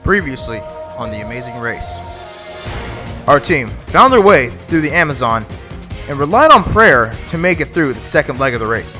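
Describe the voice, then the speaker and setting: A man's voice: mid-range voice, very animated, moderate pace. A man narrating a race show recap in a dramatic, announcer-like manner. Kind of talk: scripted narration of a video recap. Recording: voice-over with music behind.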